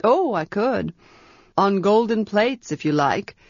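A woman lowers her voice slightly to play a calm, patient wizard with a dry, quiet wit. He speaks slowly and evenly. The sound is dry and a little muffled, close to the mic. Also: dry recording. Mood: calm, wry. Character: calm, wry male wizard, gentle and patient. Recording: dry, slightly dull audiobook recording.

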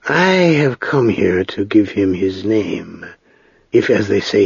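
A man voicing a quiet, wise middle-aged wizard in a storybook, speaking slowly and gently with halting certainty. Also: fairly low pitch, creaky, breathy edge, dry recording, dull audio, heavily acted.

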